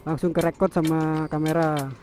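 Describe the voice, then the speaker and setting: A man's voice: on the high side, level tone, moderate pace, a little mellow. A man, explaining gear casually like a motovlogger over a helmet intercom. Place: outdoors, riding a motorbike on the road. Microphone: helmet intercom headset. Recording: intercom headset with background music.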